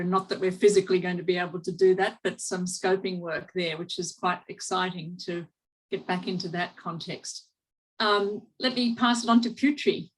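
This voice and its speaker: A woman's voice: mid-range voice, rough recording. A woman researcher greeting everyone enthusiastically on a video call. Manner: warm, enthusiastic.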